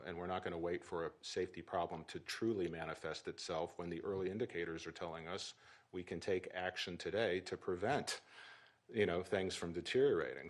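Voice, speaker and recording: A middle-aged man with a notably deep voice addresses reporters at a press briefing. He speaks in a measured, official way, pausing and restarting as he explains.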